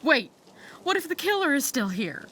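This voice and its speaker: Frightened ingenue played by a woman, radio mystery, extremely high and bright, hesitant, fragile. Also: sing-song, moderate pace, exaggerated voice. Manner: hesitant, stammering, fretful. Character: timid, frightened, tearful young woman.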